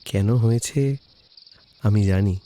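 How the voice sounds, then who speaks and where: An actor playing a calm young husband in an audio drama, gently reassuring his wife. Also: on the low side, slow pace.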